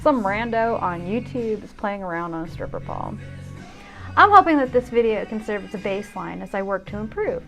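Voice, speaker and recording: Casting note: woman, 50s, mid-range voice, candid and wry personal narration with expressive emphasis, music underneath. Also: mid-range voice. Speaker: vlogger and narrator of own videos.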